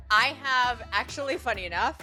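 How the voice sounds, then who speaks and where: A woman's voice: on the high side, bright, forceful tone. A woman co-hosting a podcast in casual chat, giggly and effusive. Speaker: podcast co-host.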